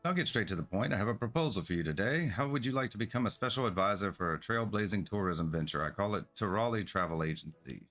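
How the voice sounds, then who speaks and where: Man voicing an eager, low-voiced game merchant. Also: lively intonation, quick pace, hardly any pauses, fairly bright tone. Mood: eager, persuasive. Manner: effusive, formal salesperson patter. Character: eager, polite merchant founder of a travel agency. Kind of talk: game quest dialogue read aloud. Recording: music behind the voice.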